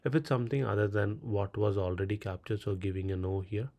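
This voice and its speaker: A man's voice: fairly low pitch, steady tone, a little fast, mellow. A man with a Tamil Indian accent, a native Tamil speaker, narrating a step-by-step screen tutorial in a clipped way, reading out questions and answering them. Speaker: tutorial presenter. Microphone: voice-over on a screen recording.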